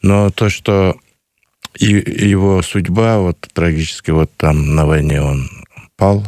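A man, answering a radio interviewer earnestly and slowly, with many pauses. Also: low voice, fairly even intonation, a little mellow, no room sound, studio quality. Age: 50s. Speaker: guest, grandson of a missing soldier.

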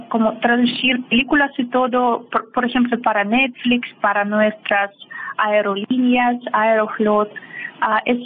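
A Russian woman with a Russian accent, speaking Spanish as a second language. She is a guest in an interview, halting and searching for words with frequent fillers. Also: fairly high pitch, slight background noise. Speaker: guest, language teacher and film translator. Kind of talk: casual interview over a call. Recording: tinny phone line.

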